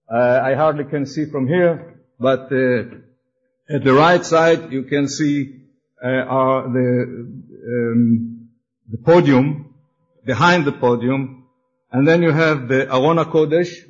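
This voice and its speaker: A man from Austria presenting his congregation to an audience, hesitant and searching for words, a little excited. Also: medium pitch, muffled recording. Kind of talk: halting presentation to an audience with slides.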